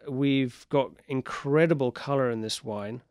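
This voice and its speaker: A man's voice: medium pitch, measured pace, a little mellow, no echo. A man who grew up in Portugal, talking as a guest in a radio studio interview, chatty and enthusiastic with frequent fillers. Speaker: port wine sales and export manager. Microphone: studio microphone.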